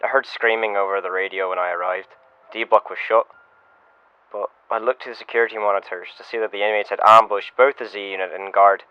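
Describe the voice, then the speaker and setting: Low-voiced shaken security officer, muffled recording man acting. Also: steady tone, a little fast, clean tone, dry recording, close to an everyday voice. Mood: grave, measured. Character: shaken male security officer giving an incident report. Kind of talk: first-person scripted audio log. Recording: muffled lo-fi radio-style sound, music behind. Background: music.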